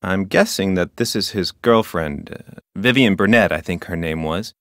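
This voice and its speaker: An officious park ranger for an adventure game. His voice sits fairly low and is recorded dry and close. He talks in formal, wordy sentences, like a stickler for the rules who relishes local history. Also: moderate pace, fairly bright tone, no echo. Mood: helpful, precise. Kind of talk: scripted adventure game dialogue.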